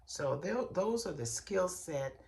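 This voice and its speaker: A hoarse middle-aged woman with a cold, her voice low and gravelly. She talks slowly and chattily, speaking straight to her listeners as she hosts a podcast lesson, with a faint ambient hiss behind her. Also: expressive. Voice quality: raspy, bassy voice. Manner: warm, chatty, direct address to listeners. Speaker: podcast host, hairstylist and coach.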